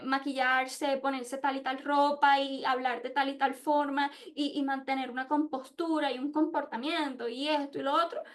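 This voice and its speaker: Woman w/ really high voice, casual reflective talk, candid, keeps hunting for words. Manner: candid, hesitant, searching for words. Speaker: podcast host and international relations researcher. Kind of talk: informal spoken presentation with personal reflections.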